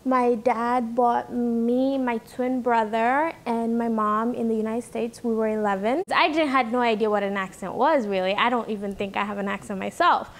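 Woman, young adult, New York accent, casual, lots of "like", bit high-pitched. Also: steady tone, normal speed, few pauses. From Bangladesh. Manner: chatty, leans on "like". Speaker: interviewee. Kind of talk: casual documentary interview.

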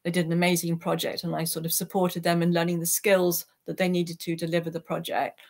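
A woman's voice: fairly low pitch, monotone, fairly quick. A woman, speaking earnestly and reflectively as an interview guest on a video call. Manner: earnest, heartfelt. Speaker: interview guest, peace activist. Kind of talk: reflective personal account in an online interview. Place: small attic room at home, indoors. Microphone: computer microphone on a video call.

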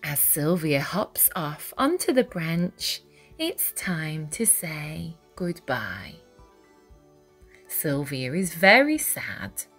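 Very slow, expressive woman storyteller. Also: sits low, breathy edge. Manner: deliberate, dramatic phrasing for young children. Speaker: storyteller reading a children's picture book. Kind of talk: expressive read-aloud storytelling. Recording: music behind the voice. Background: music.

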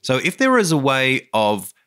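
A man's voice: medium pitch, wildly swooping pitch, normal speed, bright, forceful tone, clear, resonant tone. A man co-hosting a podcast, talking in a thoughtful, earnest casual chat. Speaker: podcast co-host.

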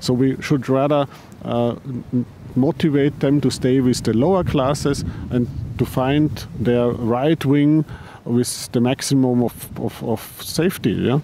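A man who heads a paraglider company answering interview questions, halting, with frequent restarts and repeated words. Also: mid-range voice, steady tone, dark tone, a little hiss or hum. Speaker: paraglider designer and company head.

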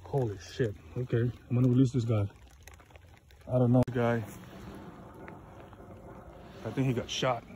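A man's voice: medium pitch, steady tone, unhurried, pauses often, warm tone. An American man casually narrating an outdoor fishing vlog in informal, slangy speech. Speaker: fishing vlogger. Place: outdoors on a grassy riverbank. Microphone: handheld phone. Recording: noisy outdoor phone recording.

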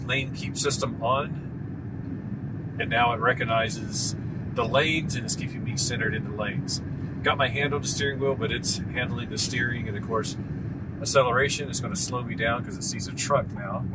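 A middle-aged man driving and explaining things as he goes in a casual, relaxed way, with plenty of fillers. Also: mid-range voice. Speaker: vlog co-host and driver. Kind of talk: casual narration while driving. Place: inside a moving car. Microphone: camera mounted on the dashboard. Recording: loud road and wind noise in a car.

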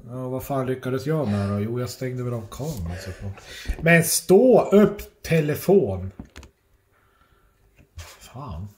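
A middle-aged man talks casually to his phone as he vlogs at home, halting and peppered with swearing. His voice sits at an ordinary pitch. The rooms give it a clear echo, with faint noise behind. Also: expressive, a little mellow. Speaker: family vlogger. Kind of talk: casual handheld vlog chat. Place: indoors at home, rooms and kitchen. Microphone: phone at arm's length.

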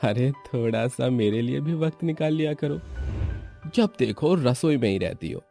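A man's voice: medium pitch, expressive, unhurried, vocal fry, mellow, faint music behind, pitched above the performer's own voice. A man voicing an affectionate, playful young husband in a cartoon family story.

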